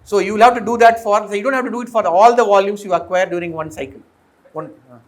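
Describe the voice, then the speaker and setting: A man's voice: high voice, quick pace. A middle-aged man with an Indian accent giving an informal technical lecture as a professor, explaining step by step and posing questions to the class. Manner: explanatory, often posing questions and checking understanding. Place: indoor lecture room with green chalkboard. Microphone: fixed or worn mic, voice drifting as he turns.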